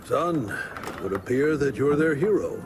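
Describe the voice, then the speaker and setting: An actor playing a kindly, folksy frontier preacher in a game cutscene, speaking in a measured, wry way. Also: male voice, medium pitch, lively intonation, very noisy, natural voice. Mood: warm, wry. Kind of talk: video game cutscene acting.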